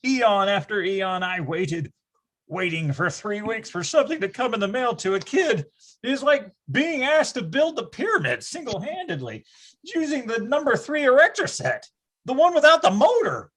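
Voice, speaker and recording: Male actor as wry grown-up recalling boyhood in readers theatre: theatrical, very animated, slightly rough high voice. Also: moderate pace, exaggerated voice. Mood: exasperated. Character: grown man wryly recalling his boyhood, grandiose and dramatic. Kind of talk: readers theatre first-person storytelling.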